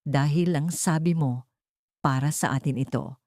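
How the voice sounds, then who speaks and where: A woman's voice: low voice, a little slow, warm tone, no room sound, clean studio sound. A woman voicing a wronged wife in a dramatic story, defiant and accusing, speaking with emphatic bitterness. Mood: bitter, accusing.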